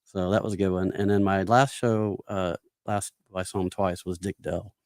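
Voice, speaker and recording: A man's voice: sits low, little pitch movement, normal speed, darkish tone, no echo, clean studio sound. An American man chatting casually as a podcast guest, telling slangy anecdotes and chiming in. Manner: slangy, interjecting.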